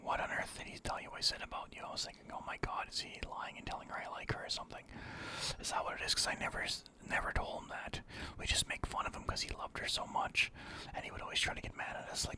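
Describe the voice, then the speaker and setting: A man telling a personal story casually in a breathy whisper, animatedly acting out both sides of conversations. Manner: animated retelling with quoted dialogue, swearing freely.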